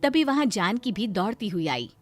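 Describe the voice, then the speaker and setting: A woman's voice: medium pitch, very animated, normal speed, faint music behind. A woman narrator reading a scripted moral story.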